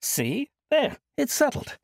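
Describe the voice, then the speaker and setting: A man's voice: very high-pitched, huge pitch swings, slow, creaky, no echo, voice pushed up, full character voice. A man voicing an eccentric, cheerful old monk with a sing-song, scatterbrained manner. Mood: jovial.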